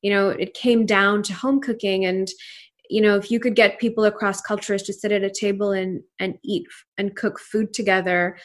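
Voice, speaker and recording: A woman in her thirties who grew up in Pakistan and speaks Urdu natively, talking as an entrepreneur guest in an interview in an earnest, reflective way with frequent fillers. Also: mid-range voice. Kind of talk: casual interview conversation.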